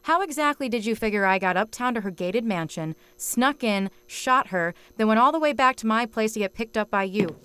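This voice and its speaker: A woman's voice: fairly high pitch, animated, brisk, hardly any pauses, a bit bright. A woman playing a hard-boiled, world-weary private detective in a noir audio drama, sardonic and wisecracking. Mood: defiant, wry. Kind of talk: noir audio drama dialogue and first-person narration.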